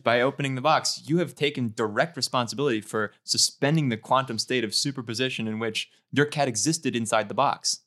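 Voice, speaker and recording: A man's voice: mid-range voice, fairly quick, few pauses, fairly bright tone, lightly acted. A smug male scientist, read out by a man in a comedy sketch. He talks in a pompous, matter-of-fact way, dodging blame and pinning it on his friend. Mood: defensive, smug.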